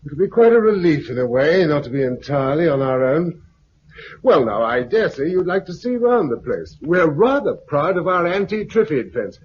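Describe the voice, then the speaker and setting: A man's voice: on the high side, big pitch swings, normal speed. A man playing a wary, shrewd survivor and protective family man in a 1950s radio drama, clipped and controlled. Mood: feigned agreeable. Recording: dull, noisy 1950s radio recording.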